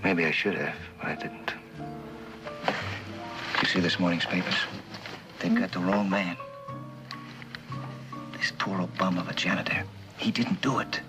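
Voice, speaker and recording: An American actor playing an earnest, troubled middle-aged business executive in a 1960s television drama. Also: male voice, low-pitched, fairly even intonation, measured pace, pauses often, over music, low-quality recording.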